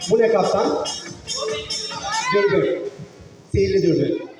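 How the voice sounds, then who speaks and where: Male kids' magic show host, playful stage patter, high voice, distant in a reverberant hall. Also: lively intonation, slow. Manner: playful, short repeated prompts and questions. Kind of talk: live stage show patter addressed to children and parents. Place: large echoing venue with an audience. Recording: echoey hall, far from mic, music behind.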